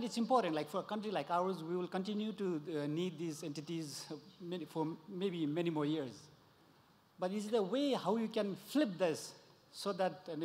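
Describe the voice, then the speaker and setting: A man from Nepal asking the panel a question from the floor, earnest and explaining with examples. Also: on the high side. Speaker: audience member asking a question. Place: conference hall. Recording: long reverb in a hall.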